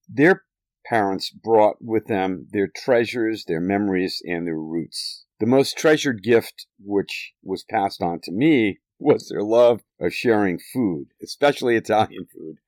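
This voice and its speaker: A man with a lowish voice recounts family memories with warmth in his words and an easy, lively delivery. He chuckles here and there as he goes. The sound is dry and close, with no room around it. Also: no room sound. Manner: fond, lively, with occasional chuckles. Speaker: storyteller. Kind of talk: nostalgic personal narration.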